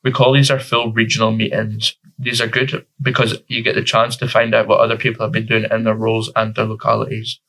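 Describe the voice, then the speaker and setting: A man's voice: fairly low pitch, monotone, fairly quick, gravelly, hardly any pauses, a bit bright, rough recording. A teenage boy with a Scottish accent, answering interview questions informally as a youth councillor, with frequent fillers. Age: teens.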